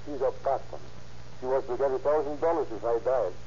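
Male actor as young Mexican-American suspect, wary and indignant, plain-spoken, dark tone, vintage radio drama. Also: medium pitch, fairly quick, close to an everyday voice. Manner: simple ungrammatical speech, defensive. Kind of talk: 1930s radio crime drama acting. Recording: dull, noisy old radio recording.

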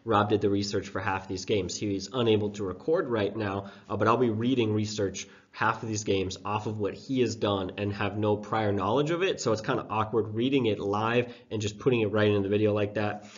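A young man hosting a fantasy football show, sick with a sore throat and stuffed-up nose, talking in a chatty, self-deprecating way with loose fillers. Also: on the low side. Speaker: fantasy football podcast host. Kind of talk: casual breakdown talk. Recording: dull lo-fi sound.

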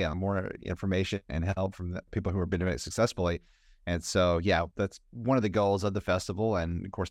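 A man's voice: sits low, no echo, studio quality. An American man hosting a casual interview in a rambling, conversational way. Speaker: interview host, festival organizer.

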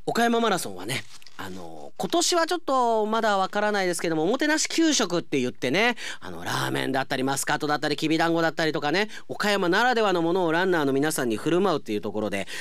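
Male radio announcer, chatty mail-reading style, very animated pitch, close dry sound. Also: clean tone, no room sound.

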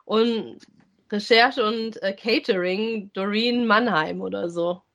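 A woman, co-hosting a relaxed, conversational review of audio dramas. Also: mid-range voice, takes it slowly. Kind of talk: casual review chat.

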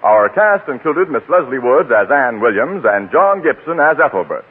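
A man's voice: mid-range voice, very animated, normal speed, breathy edge. An American man working as a radio announcer, reading a script in polished 1940s broadcast style. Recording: tinny 1940s radio with faint music.

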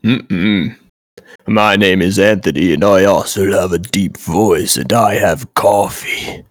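A man's voice: low voice, slow pace, dry recording, studio quality. A man co-hosting a podcast in casual chat, rambling with lots of fillers. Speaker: podcast co-host.

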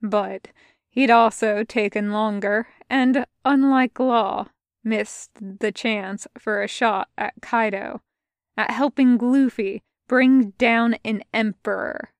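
A young woman reading fan fiction aloud in a soft, measured narration. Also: a little high, fairly even intonation, no echo. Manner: soft, measured, hushed in tender passages. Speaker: podfic reader.

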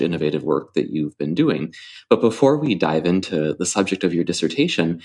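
A man hosting a podcast in a formal, articulate and courteous way, reading introductions and putting questions to a guest. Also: deep voice. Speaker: podcast host. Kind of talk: scripted introduction and interview questions.

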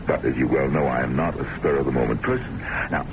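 Suave pompous boss in old radio play, low voice, talks down to people, noisy tape. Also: male voice, fast, gravelly. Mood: smug, musing. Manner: pompous, wordy, patronizing. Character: suave, self-important, womanizing academic boss, a doctor. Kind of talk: old-time radio drama acting.

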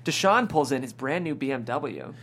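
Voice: mid-range voice, animated, normal speed, a bit bright. A narrator reading screenplay scene directions at a table read in a scripted style. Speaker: stage-direction reader.

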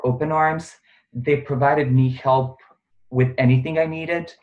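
A man's voice: medium pitch, highs cut off. A man in his twenties from Bosnia, speaking English with a Bosnian accent as an interview guest, earnest and full of phrases like "truth be told". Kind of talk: casual interview.

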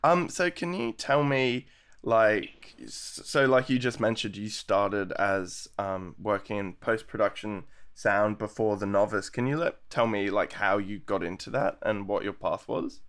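An Australian man hosting a podcast interview, friendly and chatty, his voice gravelly from being sick. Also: on the low side, a little slow, fairly bright tone. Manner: friendly, chatty, many ums. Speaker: podcast host.

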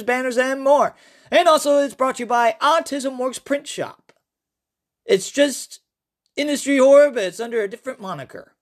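A podcast host reading a horror story and promotional notes in a halting way, repeating phrases. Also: mid-range voice, a bit bright.

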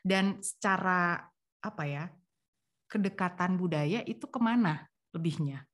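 A woman's voice: lowish voice, moderate pace. A woman hosting a podcast, introducing her guest with playful teasing and laughter. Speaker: host. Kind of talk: casual chat.

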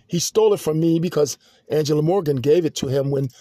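A man hosting a podcast, telling a long personal story in a halting, rambling monologue full of restarts and fillers. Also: a little high, warm tone, no echo, studio quality. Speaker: podcast host.

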